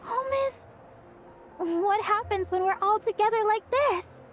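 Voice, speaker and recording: A woman voicing a sweet, sincere young woman in a video game, gentle and heartfelt. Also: very high voice, measured pace, a little hiss or hum. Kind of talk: video game character acting.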